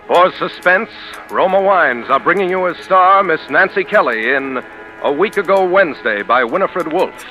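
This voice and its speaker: Formal vintage radio show announcer. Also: male voice, fairly high pitch, animated, normal speed. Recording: dull 1940s radio broadcast with music.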